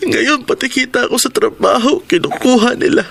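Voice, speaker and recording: An actor playing a meek, mistreated young son in a radio drama, hesitant, polite and pleading. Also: male voice, extremely high pitch, moderate pace, creaky, a bit bright, a little hiss or hum, full character voice. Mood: sad, hurt.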